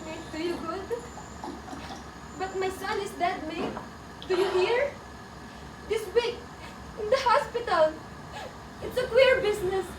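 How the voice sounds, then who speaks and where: A woman playing a poor, lonely, grieving old sledge driver in a radio drama, tearful, halting and pleading. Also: extremely high pitch, background noise. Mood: sorrowful. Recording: roomy, distant pickup with music behind.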